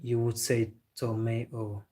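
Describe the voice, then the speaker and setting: A young man hosting a football live stream and reading out viewer comments. He chats loosely at a mid pitch, calls his viewers bro and laughs easily. He sounds relaxed and unscripted. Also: measured pace.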